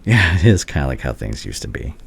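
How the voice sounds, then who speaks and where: Very deep-voiced, hesitant doctor ex-boyfriend played by a man. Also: sing-song, gravelly, slightly rough, heavily acted. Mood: apologetic, soft. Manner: hesitant, halting, tender. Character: devoted, regretful male doctor, anxious ex-boyfriend of the listener. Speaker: voice actor in an audio roleplay. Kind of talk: intimate ASMR boyfriend roleplay.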